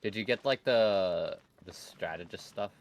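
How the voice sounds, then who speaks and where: A man's voice: low voice, a little slow. A man chatting casually as he plays an online game with friends. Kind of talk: casual gaming chat.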